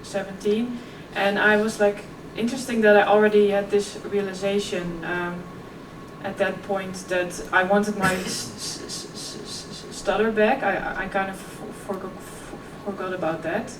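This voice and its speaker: A woman's voice: medium pitch, steady tone, a little slow. A young woman giving a keynote talk, stuttering openly and speaking casually with many fillers. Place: large conference hall. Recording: echoey hall, distant mic, audience noise.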